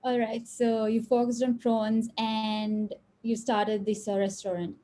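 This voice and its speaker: Woman host, higher mellow voice, formal scripted interviewer. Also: level tone. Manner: formal, measured. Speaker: webinar host. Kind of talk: scripted introduction and interview questions. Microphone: video call.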